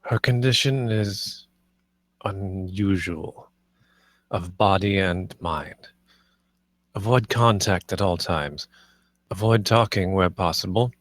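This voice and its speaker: Man acting a stern, low-voiced household master. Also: takes it slowly, long pauses, a bit bright, no echo. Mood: coldly instructing. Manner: commanding, clipped, formal. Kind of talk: dramatic script table read.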